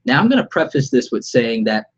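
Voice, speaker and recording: Brief: congested man with a cold, husky and nasal, chatty and encouraging, slightly muffled webcam live-stream sound. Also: mid-range voice, very animated, brisk. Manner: conversational, upbeat advice-giving. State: slightly sore throat. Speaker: vlogger. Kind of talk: unscripted live-stream vlog. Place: home studio room, alone. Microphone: webcam about arm's length away.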